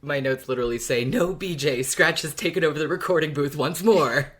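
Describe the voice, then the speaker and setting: A podcast speaker in a casual fan discussion, talking in an animated, colloquial way. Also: medium pitch, fairly quick, slightly rough. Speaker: podcast co-host or guest.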